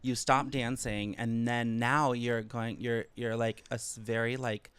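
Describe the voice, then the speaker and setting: A man's voice: mid-range voice, level tone, measured pace, heavy background noise. Eager, effusive man hosting a podcast. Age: 30s. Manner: effusive and eager, many "yeah"s and "like" fillers. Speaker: podcast host. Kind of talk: casual conversational interview.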